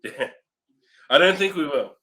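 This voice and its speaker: A man with a slightly high voice that moves up and down in a lively way. He speaks as a co-host on a review show. His style is casual and conversational. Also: measured pace.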